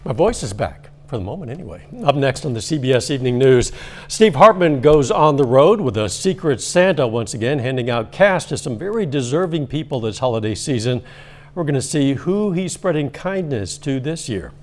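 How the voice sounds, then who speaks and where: A man reading a local evening newscast as anchor, in a steady, measured delivery with a slightly strained voice. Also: mid-range voice, soft background music. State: losing his voice, coughing at times.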